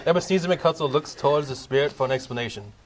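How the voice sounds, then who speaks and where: Guy reading stage directions, steady plain voice, bit of hiss behind. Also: mid-range voice, fast. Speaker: narrator.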